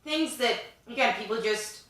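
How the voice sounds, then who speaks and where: A woman's voice: on the high side, animated, moderate pace, a bit bright, heavy reverb, distant mic. A woman in her twenties co-hosting a podcast, chatting in a rambling way with lots of fillers. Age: 20s. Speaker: podcast co-host. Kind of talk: casual chat.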